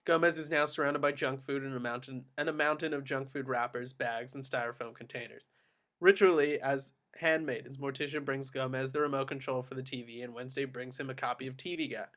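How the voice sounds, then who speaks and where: A man's voice: medium pitch, steady tone, fairly quick, a bit bright. A man reading screenplay action descriptions aloud as narrator in scripted narration.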